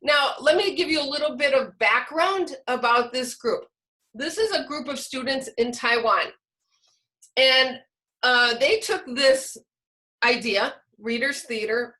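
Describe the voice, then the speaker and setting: Woman, higher-pitched, bright voice, slow halting delivery, informal teacher presentation. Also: rough recording. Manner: halting, searching for words. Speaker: teacher leading a training session.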